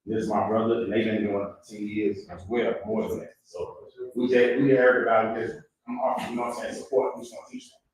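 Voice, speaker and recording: A man speaking off the cuff at a community event as a former prisoner, earnest and preaching, in halting phrases. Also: fairly low pitch, steady tone. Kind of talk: impromptu heartfelt public talk. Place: live community event in a reverberant room. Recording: echoey hall, distant mic, faint noise.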